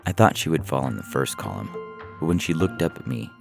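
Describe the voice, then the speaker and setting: A man's voice: rumbling low, lively intonation, a little fast, vocal fry. A man reading a short science fiction story as scripted narration. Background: music under the reading.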